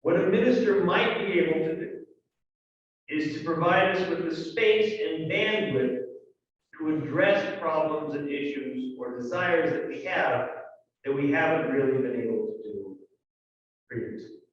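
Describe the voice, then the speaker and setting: A man giving church announcements in a relaxed, conversational way, with fillers and asides. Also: on the high side, unhurried. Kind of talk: congregational announcements. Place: large church sanctuary. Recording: echoey hall, distant dull mic.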